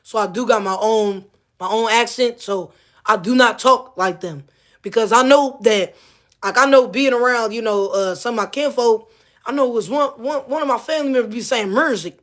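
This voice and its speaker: High-voiced woman ranting with a Missouri accent. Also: normal speed, fairly bright tone. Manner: heated, profane, repeats herself. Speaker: vlogger. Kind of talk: casual rant to camera.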